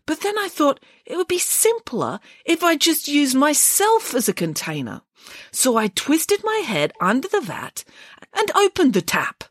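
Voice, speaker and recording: A woman's voice: high voice, huge pitch swings, no room sound, clean studio sound, pitched above the performer's own voice, exaggerated voice. A woman voicing a weepy, sensitive giant bear. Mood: earnest. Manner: tearful, drawn-out wailing. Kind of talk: storybook character voice.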